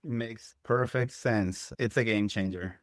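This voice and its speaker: A man's voice: fairly low pitch, slow, no room sound, studio quality. A man from Colombia answering conversationally as a guest in a business interview. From Medellin, Colombia. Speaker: interview guest, CPA and entrepreneur.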